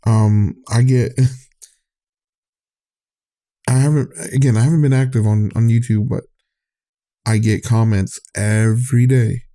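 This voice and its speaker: A man's voice: lowish voice, fairly even intonation, normal speed, warm tone. A man hosting his own podcast, talking in a candid, rambling way, often trailing off and restarting, his voice getting tired. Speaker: podcast host. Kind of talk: casual rambling monologue.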